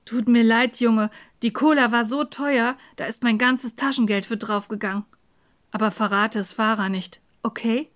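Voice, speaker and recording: A woman's voice: a little high, steady tone, moderate pace, a bit bright, close to an everyday voice. A woman voicing a kind, bashful, impulsive young schoolboy in a children's story, with a casual, offhand manner. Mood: confiding.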